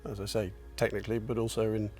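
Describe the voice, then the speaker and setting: A man in his sixties answering interview questions in a reflective, modest way, pausing and restarting as he thinks. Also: fairly low pitch, level tone, a little mellow, faint music behind. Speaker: company founder and chairman.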